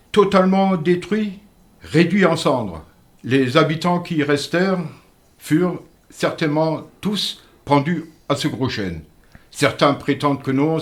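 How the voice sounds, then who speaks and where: Older man telling local history. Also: fairly high pitch. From Moselle, France. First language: Alsatian-Lorraine Franconian dialect. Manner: earnest, measured storyteller with halting restarts. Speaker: interview guest. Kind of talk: radio interview storytelling. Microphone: studio microphone.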